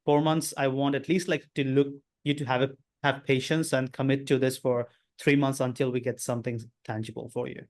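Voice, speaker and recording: A man's voice: medium pitch, flat delivery, brisk, few pauses, a little mellow. Hesitant man. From India. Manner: hesitant, searching for words. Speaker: coaching client. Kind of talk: asking questions on a coaching call.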